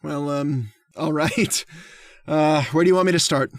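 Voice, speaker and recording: Man playing a polite, hesitant gentleman killer. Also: a little high, unhurried, dry recording, clean studio sound. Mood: reciting, distracted. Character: mild, hesitant gentleman hunter who kills for sport. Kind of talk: radio drama acting.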